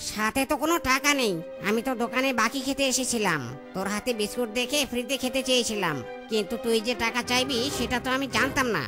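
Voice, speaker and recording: Woman as cartoon male monkey, fairly high voice, cheeky, whiny, freeloading, loud music. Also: fast talker, natural voice. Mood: protesting. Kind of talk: comedy cartoon dialogue.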